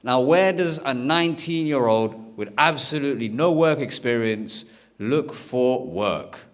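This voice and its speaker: Animated young man telling stories. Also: medium pitch, a little slow. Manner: animated, acts out every character's lines. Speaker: stand-up comedian. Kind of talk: stand-up storytelling. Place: comedy stage.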